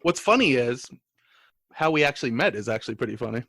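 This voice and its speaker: A man's voice: mid-range voice, big pitch swings, fast, creaky, fairly bright tone, dry recording. A man chatting casually as a guest co-host, joking in an easygoing way. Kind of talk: casual chat.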